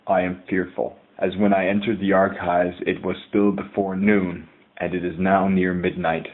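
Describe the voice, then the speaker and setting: A man playing a haunted, dread-stricken scholar who gravely and formally confesses to an audio journal in a horror drama. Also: deep voice, little pitch movement, moderate pace, natural voice. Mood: weary, fearful. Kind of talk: scripted horror audio drama monologue, literary diction. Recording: tinny phone-like audio log. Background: music.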